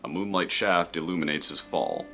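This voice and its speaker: A man reading scripted story narration in a measured, descriptive way. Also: low voice, pushed and bright, soft background music.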